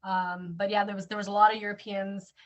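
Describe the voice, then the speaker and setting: A woman's voice: mid-range voice, level tone, a little fast, dull audio. A woman with a Canadian accent, chatting casually and informally as a co-host, with an enthusiastic manner. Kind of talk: casual chat.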